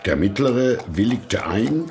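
Slow, expressive male storyteller. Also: fairly low pitch. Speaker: narrator. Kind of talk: scripted fairy tale narration. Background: music.

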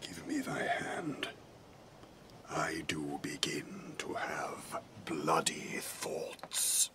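An actor playing a drunken, self-important butler, pompous and aggrieved in a Shakespearean audio drama. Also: male voice, extremely low pitch, big pitch swings, drawn-out words, whispered, heavily acted. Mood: dark, plotting.

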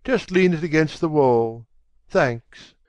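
A man as young gentleman, slightly high voice, polite, offhand, weary, close dry sound. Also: lively intonation, takes it slowly, dry recording.

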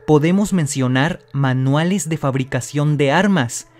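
A man's voice: fairly high pitch, measured pace. A man narrating a mystery video from a script, speaking straight to the viewer in a dramatic tone. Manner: dramatic, addresses the viewer directly. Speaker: channel host narrator. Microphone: voice-over.

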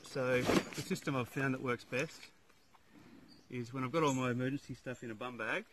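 A man in his thirties shows off his lightweight hiking setup in the bush, speaking in an easygoing, practical way with frequent pauses. His voice sits at an ordinary male pitch. It comes from a camera standing a little way off, with plenty of outdoor noise around it. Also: fairly bright tone. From Australia. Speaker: hiker showing his gear. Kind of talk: casual gear walkthrough. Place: outdoors in eucalyptus bushland campsite. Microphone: camera on tripod a few metres away.

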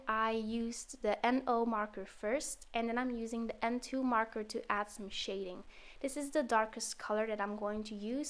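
Woman with a cold narrating a craft tutorial. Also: fairly high pitch. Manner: relaxed step-by-step explaining with fillers. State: has a cold, slightly sore throat. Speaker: card-making tutorial host.

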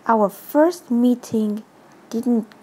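A woman voicing a composed, serious young cat princess in an amateur comic dub, speaking in a measured, formal way. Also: on the high side, noisy background. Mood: solemn, reminiscing. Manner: measured, formal, deliberate delivery.

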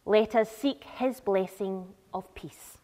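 A young woman leads worship in a measured, deliberate way. Her voice sits at a medium pitch and moves slowly. It rings with the long reverb of a large church interior. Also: warm tone. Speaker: church service leader. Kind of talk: leading worship, welcome and prayer.

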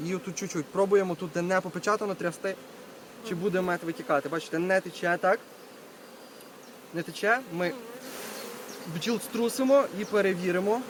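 Guy with a pretty high voice showing stuff outside at his apiary, chatty, bees buzzing faintly behind him. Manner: talks to viewers while working, repeating short phrases. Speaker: beekeeper presenting a video. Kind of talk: casual hands-on demonstration. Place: outdoors at an apiary. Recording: outdoor recording with buzzing bee noise. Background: buzzing bees and insects.

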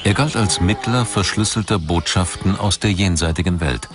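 Very deep-voiced male documentary narrator. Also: normal speed, background noise. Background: music behind the voice.

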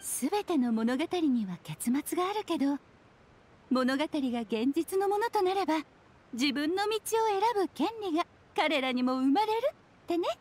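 Playful witch, high and lilting. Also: animated, a little mellow. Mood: serene explaining. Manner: teasing, unhurried, coy. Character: playful, mysterious, self-assured witch, creator of a fairy-tale world. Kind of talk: anime video game voice acting.

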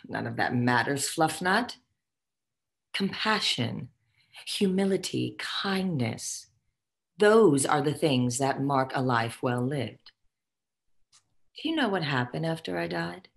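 A haughty dead socialite lords it over her listener in a low voice. Her comic table-read delivery is theatrical and condescending, with big swoops in pitch. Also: expressive, takes it slowly, gravelly, long pauses, full character voice. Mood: admonishing. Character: haughty, foul-mouthed ghost of a dead socialite boss. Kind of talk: virtual table read, comic stage acting. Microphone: video call.

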